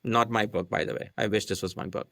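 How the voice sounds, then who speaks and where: A man's voice: fairly low pitch, steady tone, fast talker, creaky, sharp tone, dry recording, studio quality. A man whose first language is not English, a podcast guest explaining a marketing method step by step and often checking in with "right?". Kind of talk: casual explanatory chat.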